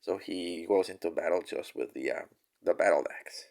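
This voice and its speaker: A man's voice: low voice, normal speed, gravelly, a little mellow. A man with a nasal voice from a cold, casually reviewing a toy figure with many fillers and restarts.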